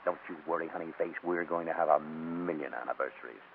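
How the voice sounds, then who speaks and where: An actor playing a coaxing, easily flustered husband in a 1940s radio comedy, on a tinny old recording. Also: male voice, very deep voice, quick pace, vocal fry, a little hiss or hum. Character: wheedling husband caught in a fib by his wife.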